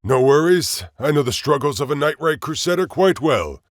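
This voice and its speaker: An actor playing a courteous but firm guild officer in a fantasy audio drama, genial, formal and a little patronizing. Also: male voice, a little high, animated, moderate pace, no room sound, studio quality, natural voice.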